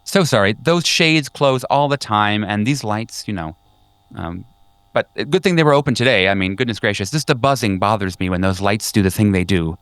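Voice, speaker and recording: A man's voice: lowish voice, lively intonation, a bit bright, smooth, no echo, voice pushed up. A man voicing a fussy, chatty woman staffer with a folksy manner in a tabletop roleplay. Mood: breezy, apologetic. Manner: folksy, chatty, quick to exclaim.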